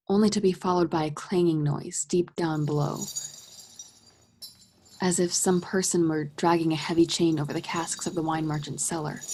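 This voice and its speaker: A woman narrating a radio play as a scripted story, husky and measured with dramatic delivery. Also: fairly low pitch, a little mellow, slight background noise.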